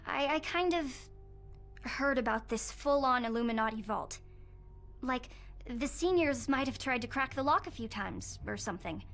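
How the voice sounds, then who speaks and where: A woman's voice: high-pitched, lively intonation, normal speed, a bit bright, faint music behind. An actress playing an eager, hesitant teenage schoolgirl in a video game cutscene, talking in casual teen phrasing.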